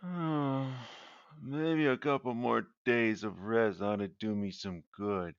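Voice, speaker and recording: A man voicing a sick young man who turns into a dog, talking in groans and shrill panic. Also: big pitch swings, slow pace, a bit bright, highs cut off, exaggerated voice. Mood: groaning.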